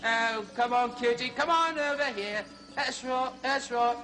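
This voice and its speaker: Man doing a high, excitable zoo host. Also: measured pace. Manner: excitable, chattering, fussy showman. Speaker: comedy zoo show host. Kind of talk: comic zoo host patter.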